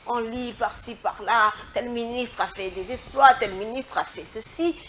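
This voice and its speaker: A woman's voice: on the high side, unhurried, fairly bright tone, slight background noise. A woman hosting a political interview and putting questions to her guest. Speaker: interviewer, host.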